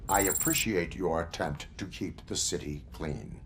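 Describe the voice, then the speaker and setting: An actor playing a stoic cyborg police officer in a video game, formal, clipped and deadpan. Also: male voice, low-pitched, measured pace, a little hiss or hum. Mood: flat, resolute. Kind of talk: video game cutscene acting.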